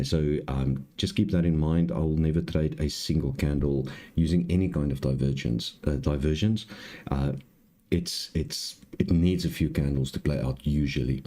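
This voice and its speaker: Very deep, raspy man teaching. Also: warm tone. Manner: halting, explanatory, repeats points. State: hoarse, clearing throat. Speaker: trading live-stream host and instructor. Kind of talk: unscripted live tutorial.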